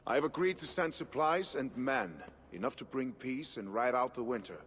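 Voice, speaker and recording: An actor playing a Viking leader planning an aid voyage, earnest and welcoming, in a video game cutscene. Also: male voice, mid-range voice, lively intonation, normal speed, fairly bright tone, big-room sound, slight background noise, lightly acted.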